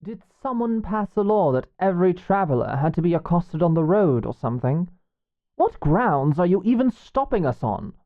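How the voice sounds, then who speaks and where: A woman's voice: on the low side, big pitch swings, normal speed, phone-quality sound. A woman playing a protective, hot-headed young female guard in a medieval fantasy audio drama, blunt and challenging.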